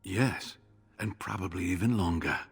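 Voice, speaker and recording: An actor playing an earnest, devout male scholar in a video game, speaking in a measured, formal way with a husky voice. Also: low-pitched, heavily acted. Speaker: game character.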